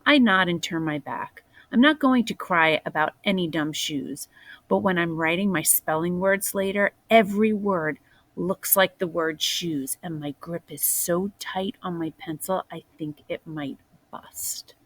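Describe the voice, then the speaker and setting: A woman reading a children's picture book aloud in a deliberate way, as a teacher does for young listeners. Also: fairly low pitch, no room sound. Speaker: storybook reader, teacher.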